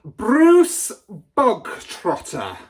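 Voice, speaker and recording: A storybook reader voicing a huge, cruel, tyrannical headmistress who barks and booms insults. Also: animated, takes it slowly, a little mellow, slightly rough. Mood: furious, bellowing. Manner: barking, booming insults. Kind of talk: storybook read aloud.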